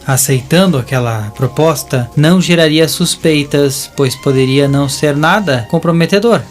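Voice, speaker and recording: A man with a Brazilian accent narrating a scripted audio drama as a deliberate storyteller who addresses listeners. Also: on the high side. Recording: voice over a music bed.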